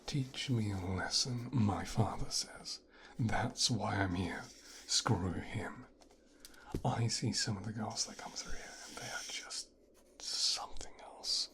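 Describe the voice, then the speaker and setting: A man playing a menacing, possessive, resentful mafia boss's son in an intimate ASMR roleplay, speaking in a breathy, brooding, teasing hush. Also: fairly low pitch. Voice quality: breathy, whispered. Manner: slow, hushed, brooding, teasing.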